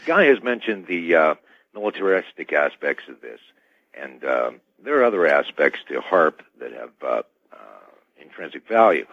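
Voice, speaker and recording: A man on the telephone in a radio discussion, speaking in halting, formal technical language through muffled audio. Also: low-pitched, sing-song, dry recording. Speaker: guest caller.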